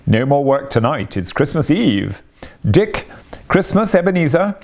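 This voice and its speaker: A man playing a high-pitched, jovial old gentleman. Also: very animated, unhurried. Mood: jovial, hearty. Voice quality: oily, rich, fat, comfortable voice. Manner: exuberant calling out. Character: jovial, hearty old gentleman merchant and master.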